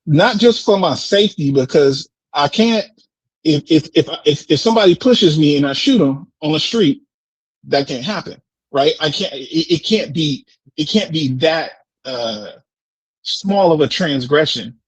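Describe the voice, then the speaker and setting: An American man in a casual debate, explaining in an animated way, repeating words and often checking with "right?". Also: a little high, a little slow, husky, no room sound, poor audio. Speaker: discussion participant answering questions.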